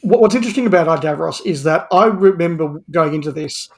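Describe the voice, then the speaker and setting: A man's voice: a little high, brisk. A man giving a casual review as a podcast guest, making articulate, thoughtful points at length.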